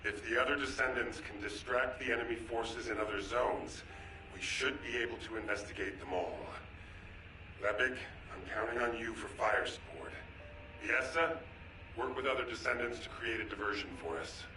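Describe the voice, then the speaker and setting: A man's voice: mid-range voice, normal speed, sharp tone, natural voice. An actor playing a focused, resolute male field leader giving orders in a businesslike way in a video game. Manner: businesslike, commanding. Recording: echoey, distant, faint noise.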